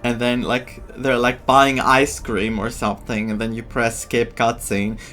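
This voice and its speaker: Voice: medium pitch, little pitch movement, a little slow, a bit bright. A game streamer giving casual, gushing and giggly reactions while playing. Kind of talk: casual gameplay reaction commentary. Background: game music.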